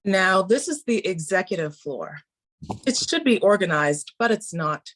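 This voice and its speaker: A woman acts a knowing office manager in her twenties. Her mid-pitched voice is brisk and businesslike, giving instructions in an informal drama table read. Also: very animated.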